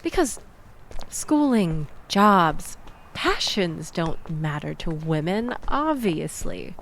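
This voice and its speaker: A woman playing a sarcastic young woman venting about her evening in an intimate, conversational audio roleplay, with expressive, wry delivery. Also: lowish voice, slow pace, vocal fry, slight background noise, full character voice. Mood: sarcastic, indignant. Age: young adult. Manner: wry, sarcastic, animated storytelling with sighs and laughs.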